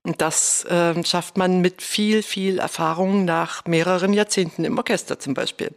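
A woman hosting a podcast in a conversational style, with articulate, deliberately phrased introductions and questions. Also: on the low side, vocal fry. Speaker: podcast host, orchestra dramaturg.

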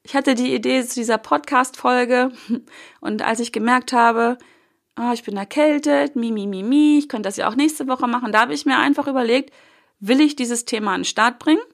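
A woman's voice: a little high, normal speed, gravelly. A young woman with a cold, hosting a solo motivational podcast in a casual coaching tone, with loose fillers and the odd swear word. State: has a cold. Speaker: podcast host.

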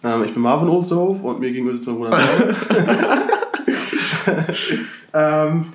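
A man's voice: fairly high pitch, big pitch swings, moderate pace. Guy with a bit of a cold rambling on his podcast, lots of ähm, roomy echo. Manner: rambling, lots of fillers and restarts. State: slightly congested from a cold. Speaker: podcast co-host. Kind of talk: casual chat. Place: shared flat, indoors.

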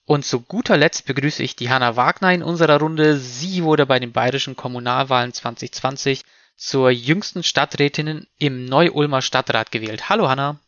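A man hosting a discussion podcast, speaking in a measured, formal and articulate way. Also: medium pitch, a bit bright, no room sound, muffled recording. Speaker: podcast host moderating a panel. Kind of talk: scripted introduction, moderated discussion.

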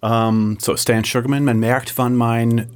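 A middle-aged man from San Francisco, a native English speaker with an American accent, answering interview questions in halting German with many fillers and restarts. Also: medium pitch, little pitch movement. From San Francisco, USA. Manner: halting German with frequent fillers and self-corrections. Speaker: guest, tech executive.

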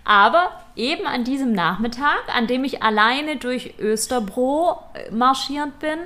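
A woman's voice: high voice, animated, unhurried, pushed and bright. A woman co-hosting a fashion podcast, telling shopping stories in a chatty, self-deprecating way. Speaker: podcast co-host. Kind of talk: casual chat.

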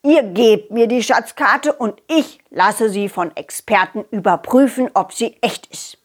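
A woman's voice: a little high, a little slow, husky. A woman voicing a grumpy, stern older man who scolds and blusters in a children's audio play. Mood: sceptical, blustering. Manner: blustering, scolding, repeats words. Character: grumpy, stern, suspicious older male neighbor.

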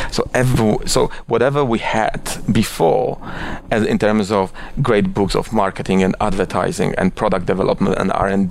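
A deep-voiced middle-aged man with a Polish accent answers in an interview. His delivery is fairly flat in pitch and gravelly, full of restarts and repeated words. There is slight noise on the recording. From Poland. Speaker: interview guest, advertising executive. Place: office.

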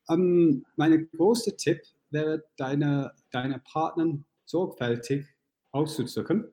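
A man with a British accent speaking German as a non-native, halting and searching for words as a podcast guest. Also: a little high, warm tone. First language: English. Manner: non-native grammar slips. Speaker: founder of a financing startup, guest. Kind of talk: podcast interview.

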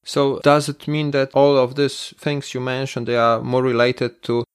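A man's voice: medium pitch, completely flat delivery, a little slow, clear, resonant tone. Male interviewer, ordinary mid voice, curious follow-ups. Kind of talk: interview questions.

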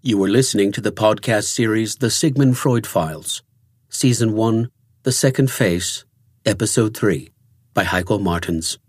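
A man's voice: fairly low pitch, moderate pace, no echo. A man reading scripted credits as a podcast announcer.

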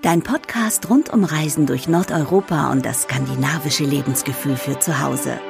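A woman's voice: low voice, moderate pace, creaky, faint music behind. A woman announcing a scripted podcast intro. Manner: announcer delivery. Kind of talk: scripted intro over music.

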